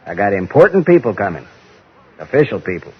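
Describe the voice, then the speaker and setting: An actor playing a blunt, guarded Western sheriff with an American Western accent and folksy, terse speech in an old-time radio drama. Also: male voice, on the low side, sing-song, a little fast, husky, full character voice. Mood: stubborn, firm. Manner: terse, drawling rustic speech. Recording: dull, muffled old radio recording.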